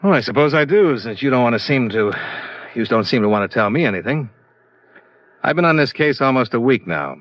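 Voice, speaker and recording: An actor in a 1950s radio drama playing a blunt, hard-boiled insurance investigator who talks in a terse, matter-of-fact way. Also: male voice, medium pitch, lively intonation, a little fast, no echo, natural voice. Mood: pressing, blunt. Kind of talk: 1950s radio detective acting. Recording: dull vintage radio recording.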